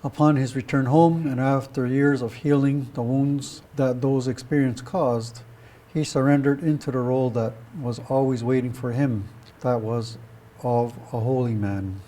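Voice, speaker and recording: A man's voice: mid-range voice, fairly even intonation, a little slow, warm tone. A man reading a scripted biographical introduction of a guest speaker as a presenter.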